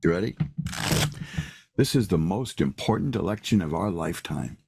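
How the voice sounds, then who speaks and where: An older man with a raspy voice hosting a live radio show, rambling in a casual monologue with wry asides and repeated words. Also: sits low, lively intonation, moderate pace, darkish tone, dry recording. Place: New York.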